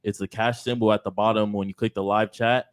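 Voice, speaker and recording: A young man co-hosting a live podcast, upbeat as he pitches promos to the chat. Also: level tone, a little fast, no echo, clean studio sound. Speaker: podcast co-host. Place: podcast studio.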